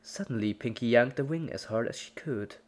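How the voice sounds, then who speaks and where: A woman with a very deep voice for her sex narrates a horror story. She reads from a script in a dramatic storytelling style. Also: a little fast, a little mellow.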